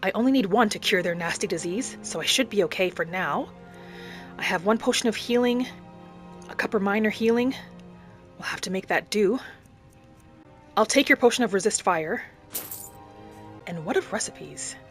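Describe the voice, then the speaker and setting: Female gameplay storyteller, mid-pitched, earnest and measured, speaking in character over soft music. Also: expressive. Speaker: gaming channel host. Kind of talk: in-character roleplay gameplay narration. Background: background music.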